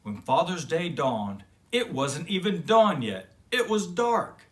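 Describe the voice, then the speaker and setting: Guy reading a kids storybook out loud, takes it slow, kinda high voice, expressive. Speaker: children's story reader and host.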